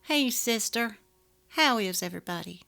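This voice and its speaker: A woman's voice: fairly high pitch, lively intonation, moderate pace, gravelly, bright, forceful tone, full character voice. Affectionate sister played by a woman. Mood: upbeat. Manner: familiar, affectionate. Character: affectionate, upbeat adult sister. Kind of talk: dramatic reading of a text-message story. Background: music.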